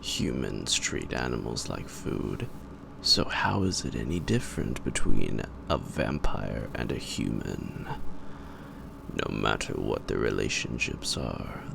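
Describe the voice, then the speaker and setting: A man playing a suave, psychotic gentleman vampire in an audio roleplay, pompous, taunting and predatory, with drawn-out and sometimes whispered delivery. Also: exaggerated voice. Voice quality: very deep, gravelly. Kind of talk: theatrical roleplay monologue to the listener. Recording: noisy amateur home recording.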